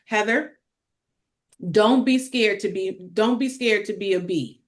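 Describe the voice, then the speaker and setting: A woman's voice: medium pitch, measured pace. A woman directing a table read and narrating its stage directions from a script.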